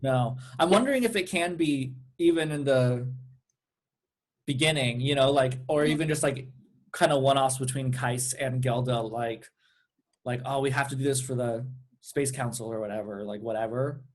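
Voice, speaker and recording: A man's voice: medium pitch, normal speed. A man, a cast member, chatting casually in a group discussion.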